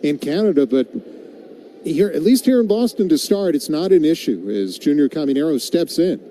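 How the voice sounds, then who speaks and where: Very mellow-voiced male baseball play-by-play commentator. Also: fairly high pitch, lively intonation, normal speed. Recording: live broadcast with clear background noise.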